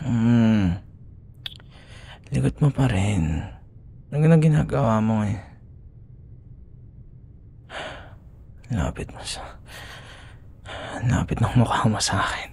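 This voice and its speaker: A man with a Filipino accent playing a young, grudging, secretly smitten school rival in an intimate, breathy ASMR roleplay, often dropping into whispers. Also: fairly low pitch, expressive, a little slow, pauses often, a little mellow, full character voice. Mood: flustered, intimate. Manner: teasing, grumbling, hesitant. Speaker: audio roleplay voice actor.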